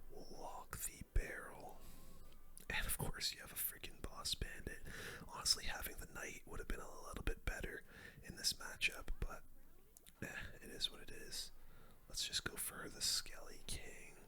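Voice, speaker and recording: A person whispering casual, chatty ASMR gameplay commentary in a breathy, unvoiced voice, close to the microphone. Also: moderate pace, sharp tone. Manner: casual, chatty gamer slang. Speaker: gaming video host.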